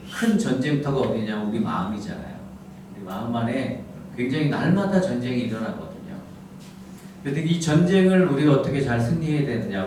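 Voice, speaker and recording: A middle-aged man from the Gyeongsang region who speaks with a standard Seoul-area accent, preaching in a soft, humorous storytelling manner. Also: mid-range voice, distant mic. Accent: standard Seoul-area Korean. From Gyeongsang region, South Korea. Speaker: pastor. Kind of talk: lecture-style sermon. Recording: echoey hall miked from afar, background noise.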